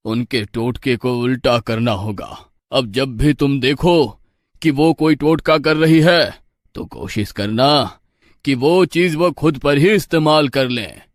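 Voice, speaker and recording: A solemn village priest, acted by a man, calm and instructive. He counsels slowly in a measured voice, recorded close and dry for a storybook. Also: mid-range voice, no room sound, natural voice. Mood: solemn, advising.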